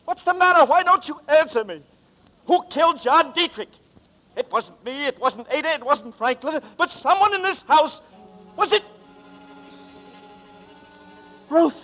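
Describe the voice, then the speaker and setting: A man's voice: very high voice, expressive, normal speed, pushed and bright, soft background music, full character voice. An actor playing a desperate young man who is accused of murder, speaking in a 1940s radio drama in an urgent, pleading way. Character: desperate hunted young man, Danny Nearing. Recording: dull old radio recording.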